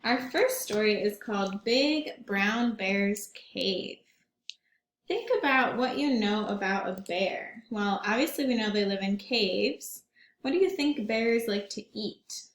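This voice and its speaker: A woman teacher reading a picture book aloud to children in a gentle, slow way, stopping to ask them questions. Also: mid-range voice. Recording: roomy echo.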